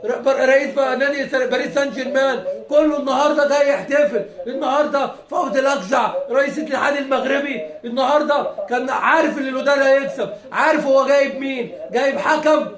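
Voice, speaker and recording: A hoarse Egyptian man with a high voice rants fast and heatedly about football, laughing between his lines. His worn voice bounces around an echoey room. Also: crowd noise. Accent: Egyptian Arabic. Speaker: football fan. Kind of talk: impassioned rant.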